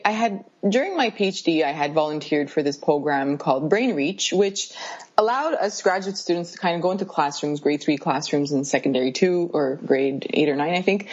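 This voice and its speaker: Lady scientist guest, lowish voice, laughs a lot, kinda muffled remote audio. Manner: lively, eager explainer who laughs often. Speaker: neuroscientist guest. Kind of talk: casual interview explanations.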